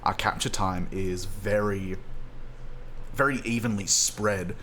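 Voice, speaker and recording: A man, not a native English speaker, giving relaxed, halting color commentary on a game stream with many pauses and ums. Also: lowish voice, a little hiss or hum. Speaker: esports co-caster.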